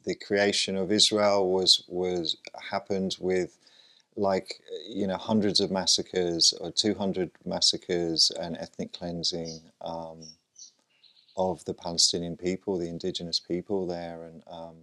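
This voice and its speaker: A man with a very low voice, born in Lebanon, answers interview questions in a hesitant, searching way. His sentences stall on fillers and restarts as he works through each thought. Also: little pitch movement, unhurried, sharp tone. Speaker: guest. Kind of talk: conversational interview.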